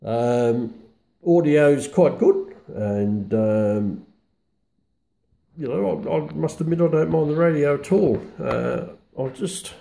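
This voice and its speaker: Australian male hobby reviewer, unscripted and casual, mellow tone, very unhurried, halting phrasing. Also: fairly high pitch, gravelly. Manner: halting, hesitant delivery with very frequent pauses.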